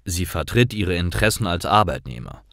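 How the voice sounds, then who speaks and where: A man's voice: deep voice, expressive, brisk, fairly bright tone, no echo, clean studio sound. A man voicing a patient, friendly male personnel officer who explains things courteously in a language-learning story. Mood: patient, explanatory.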